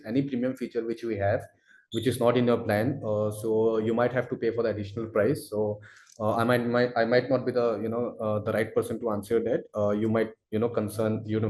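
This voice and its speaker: A man answering audience questions as a product expert in a live webinar, speaking haltingly and repeating himself as he explains. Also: fairly low pitch, warm tone. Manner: halting, repetitive, hedging delivery. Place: indoor room, webinar call. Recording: roomy, echoey sound.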